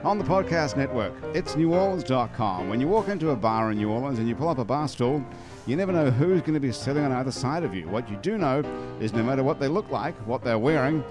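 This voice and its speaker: A man's voice: mid-range voice, lively intonation, fast talker, hardly any pauses, over music. Jokey male podcast host in a bar. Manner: jokey, teasing, talkative. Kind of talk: casual hosted bar chat. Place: bar and restaurant.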